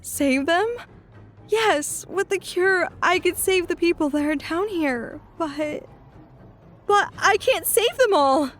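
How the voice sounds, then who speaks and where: Casting: very high, anxious young woman healer, urgent and strained, as a woman voices her, faint music underneath. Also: animated, takes it slowly, exaggerated voice. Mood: distraught. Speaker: Coniglio. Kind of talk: fan audio drama acting.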